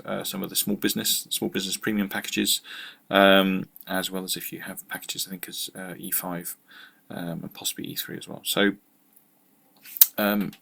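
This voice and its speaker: A British man giving an informal product walkthrough as a tech channel presenter, halting and matter-of-fact in his delivery. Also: deep voice, creaky, pushed and bright, breathy edge.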